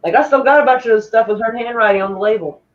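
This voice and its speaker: A woman's voice: fairly high pitch, quick pace. A woman in her forties, co-hosting a casual watch party chat, rambling with lots of fillers. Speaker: co-host. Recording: dull lo-fi home mic.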